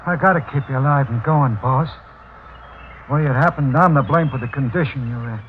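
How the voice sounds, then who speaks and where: A man's voice: mid-range voice, a little fast, natural voice. An actor playing a tough, loyal gangster henchman with slangy talk in a 1940s radio crime drama. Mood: confiding. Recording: thin, phone-like old radio recording, faint noise.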